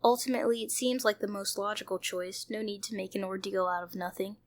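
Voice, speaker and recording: A woman's voice: medium pitch, steady tone, quick pace. A woman hosting a playthrough, reading visual novel narration aloud and adding her own commentary. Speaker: host of a video game playthrough channel. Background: background music.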